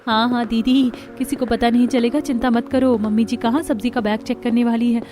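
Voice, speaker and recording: A woman's voice: on the high side, flat delivery, a little fast, warm tone, close to an everyday voice. A woman voicing a sneaky young daughter-in-law in a family cartoon, chatty and conspiratorial. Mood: secretive. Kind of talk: Hindi family cartoon dialogue.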